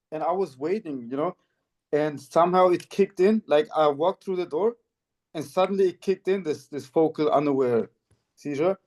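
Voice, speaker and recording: Mellow-voiced man in his twenties. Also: on the high side. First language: Turkish. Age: 20s. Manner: animated storyteller, laughs while telling. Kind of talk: casual chat.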